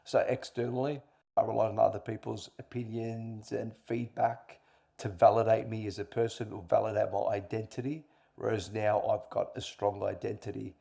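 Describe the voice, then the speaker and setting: A man's voice: on the low side, little pitch movement, moderate pace, creaky, dark tone. A middle-aged man answering interview questions earnestly, explaining with examples and repeating points for emphasis. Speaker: interview guest, coach.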